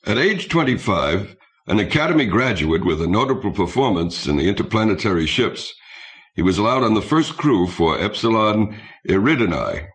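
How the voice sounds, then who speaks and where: A man reading science fiction as a scripted audiobook narration, in a measured, literary way. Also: lowish voice, sing-song.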